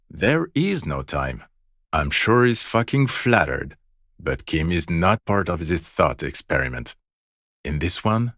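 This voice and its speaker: An actor playing a world-weary, fed-up police detective in a video game, profane and sneering, with deadpan sarcasm. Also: male voice, very deep voice, dry recording, clean studio sound, heavily acted. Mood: angry, weary.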